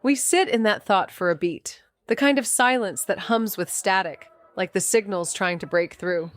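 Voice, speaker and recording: A woman's voice: medium pitch, normal speed, dry recording, close to an everyday voice. A woman playing a wry young amateur detective in a neo-noir audio drama, shifting between teasing banter and brooding narration. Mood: brooding, reflective.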